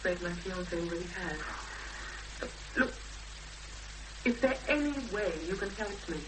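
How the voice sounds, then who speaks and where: A woman playing an earnest young woman in a 1970s British radio drama, expressive and hesitant when pressed, on an old recording. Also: on the low side, measured pace, background noise. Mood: pleading, subdued. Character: Emma, earnest young woman searching for missing sister.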